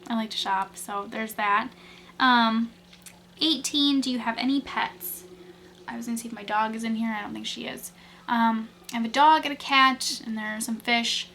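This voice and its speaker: A woman's voice: on the high side, steady tone, moderate pace, pushed and bright, a little hiss or hum. A young woman from Wisconsin, chatting casually through tag questions, rambling with lots of fillers and restarts. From Wisconsin, USA. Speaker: beauty vlogger.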